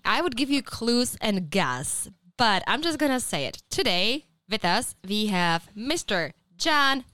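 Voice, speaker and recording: A woman's voice: on the high side, sing-song, unhurried, bright, forceful tone, no room sound, clean studio sound. A woman co-hosting a podcast, upbeat and playful as she introduces a guest. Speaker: co-host. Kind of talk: casual chat. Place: studio.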